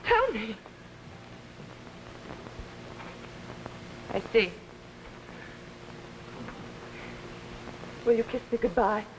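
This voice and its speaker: An actress playing an ambitious, manipulative young pianist in early 1950s television drama, pleading in a melodramatic way. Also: female voice, on the high side, big pitch swings, a little fast. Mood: wounded, tender. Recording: noisy old 1950s TV soundtrack.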